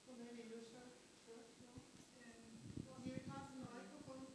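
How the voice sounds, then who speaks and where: Higher-voiced woman chairing, very echoey room. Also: little pitch movement, unhurried. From Netherlands. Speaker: session chair. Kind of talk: informal moderating of a conference session. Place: hotel conference room. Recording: very echoey room, distant, audience noise.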